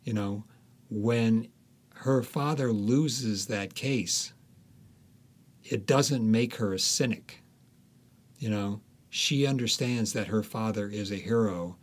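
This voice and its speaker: Low-pitched man, halting and reflective. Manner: halting, deliberate, pausing often. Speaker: author, interview guest. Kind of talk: reflective conversational explanation.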